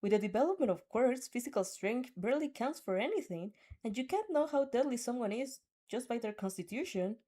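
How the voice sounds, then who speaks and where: A woman reading fan fiction in an animated storytelling style, sometimes stumbling over words and stepping out of the script. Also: fairly high pitch, fairly quick, few pauses. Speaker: fan fiction reader and dubber. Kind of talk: expressive storytelling with off-script asides.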